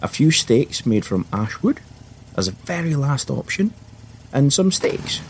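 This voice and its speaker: A man telling an adventure story to children in a playful, animated style with comic understatement. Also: medium pitch, unhurried, slight background noise. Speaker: podcast host and storyteller.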